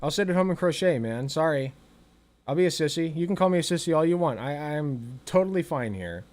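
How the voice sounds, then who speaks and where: A man giving casual, sarcastic reaction commentary over a clip, swearing freely and tossing off quick asides. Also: on the high side, expressive, darkish tone. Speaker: political commentator reacting to a video.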